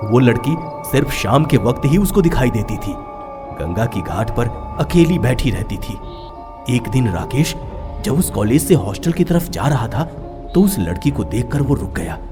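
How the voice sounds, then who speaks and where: A man narrating a scripted horror story in a dramatic storytelling style. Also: medium pitch.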